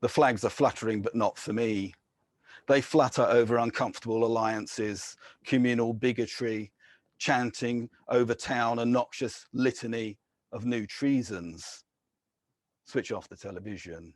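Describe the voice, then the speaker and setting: A man, a poet, reading his own poems in a measured, deliberate way, with short casual introductions between them. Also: medium pitch, no echo. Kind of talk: poetry reading with spoken introductions.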